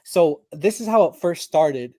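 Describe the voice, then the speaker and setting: Man, presenter, mellow warm tone, dry close sound, relaxed, laughing. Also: a little high, measured pace, no echo. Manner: relaxed, laughs between points. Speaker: guest presenter. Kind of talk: casual religious debate chat.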